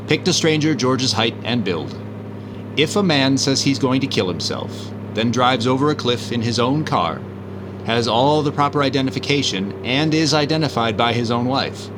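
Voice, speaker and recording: An actor playing an assured gentleman detective, speaking in a measured 1950s radio drama manner. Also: mid-range voice, background noise, close to an everyday voice. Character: calm, confident gentleman amateur detective explaining.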